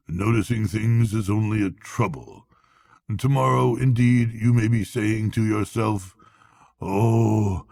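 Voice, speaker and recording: A man voicing a languid storybook toad, feeble and murmuring, playing the mournful martyr as if gravely ill. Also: lowish voice, darkish tone, dry recording, full character voice. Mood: reproachful, mournful. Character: languid, self-pitying male toad feigning grave illness.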